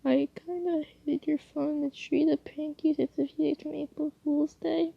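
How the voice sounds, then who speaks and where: A woman's voice: high-pitched, flat delivery, unhurried, gravelly, no echo, muffled recording, voice pushed up, full character voice. A woman voicing an impulsive, easily hurt young male character in a fan story, with a whiny tone. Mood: sheepish.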